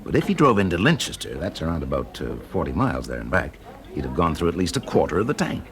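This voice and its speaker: A British man acting a shrewd, methodical fire investigator in a radio drama, measured and politely probing with small hesitations. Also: low-pitched, huge pitch swings, a crowd in the background. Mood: reasoning. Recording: noisy vintage radio drama recording.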